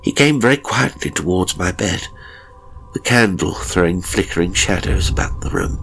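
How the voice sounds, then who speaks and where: A man narrating a first-person horror story dramatically, in a measured, suspenseful way with deliberate pauses. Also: deep voice, lively intonation, background noise. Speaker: audiobook narrator.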